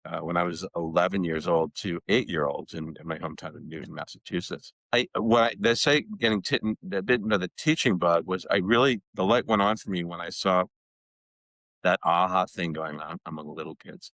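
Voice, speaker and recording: A man's voice: low-pitched, brisk, dry recording, low-quality recording. A man in his sixties, originally from Boston, telling stories of his career as a guest in a reflective way, often repeating words. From Boston, United States. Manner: warm and reflective, with repeated words and "you know". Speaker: guest, educational consultant. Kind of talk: conversational storytelling.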